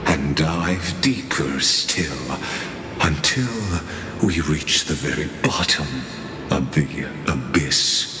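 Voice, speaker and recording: A man's voice: a bass voice, lively intonation, slow, background noise, exaggerated voice. A man voicing an ancient, menacing game warlord with a grave, ominous delivery. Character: ancient, menacing male warlord, weary of words. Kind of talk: dramatic video game voice acting. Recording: echoey cavernous reverb under music.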